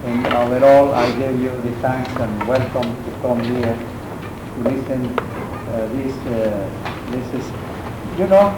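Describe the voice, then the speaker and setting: A man with a Mexican accent and Spanish as his first language, giving an art lecture in English, halting and searching for words. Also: mid-range voice. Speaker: guest painter lecturing. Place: university lecture room. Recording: echoey classroom with noise.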